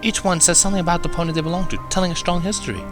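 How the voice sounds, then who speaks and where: A man voicing a refined, caring female cartoon unicorn with a genteel, measured manner. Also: high voice, fairly even intonation, music in the background, pitched above the performer's own voice, close to an everyday voice. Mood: approving.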